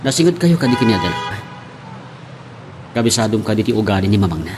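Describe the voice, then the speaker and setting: A man's voice: on the low side, sing-song, quick pace, a little mellow, slight background noise. A man playing a serious, concerned boss in an Ilocano radio drama.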